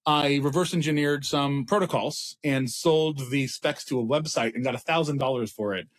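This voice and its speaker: An Israeli man in his thirties tells his story in a relaxed, meandering way. He restarts his sentences often and pokes fun at himself. His pitch sits in the middle of the range for a man. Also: normal speed. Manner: rambling, self-deprecating, many restarts. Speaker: startup CEO guest. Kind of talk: casual interview talk.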